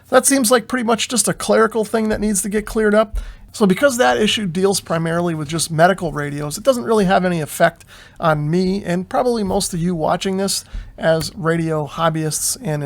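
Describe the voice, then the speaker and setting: An American man hosting an informal explainer, talking in a measured, explanatory way as a hobbyist giving his own take. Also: sits high, vocal fry. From United States. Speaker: video host, amateur radio hobbyist. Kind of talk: informal explainer commentary on radio news.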